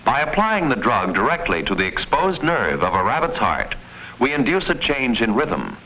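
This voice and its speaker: A man reading formal, measured, didactic scripted narration in the style of a mid-century educational film. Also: mid-range voice, very animated. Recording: muffled, noisy old film soundtrack.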